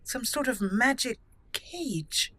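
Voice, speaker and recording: Bright-voiced woman hosting a game playthrough. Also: a little high, animated, slow pace. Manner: playful, chatty, thinking aloud. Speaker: gaming channel host. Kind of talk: casual roleplay game commentary. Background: game music.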